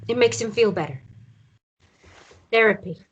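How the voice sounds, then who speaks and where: A woman acting a weary, evasive mother in a naturalistic drama table read, speaking haltingly and appeasingly. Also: medium pitch, breathy edge, muffled recording, close to an everyday voice. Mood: resigned explaining. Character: weary mother downplaying her ex's abuse, evasive.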